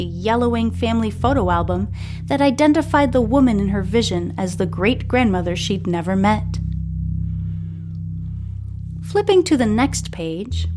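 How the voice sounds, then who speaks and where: A woman's voice: a little high, a little mellow. A woman hosting a story podcast, reading scripted narration from a serial novel.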